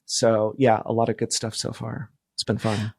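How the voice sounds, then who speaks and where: A man's voice: on the low side, monotone, normal speed, gravelly, darkish tone, husky, dry recording, studio quality. A man speaking as a podcast guest in casual interview talk, explaining things in a loose, hesitant way.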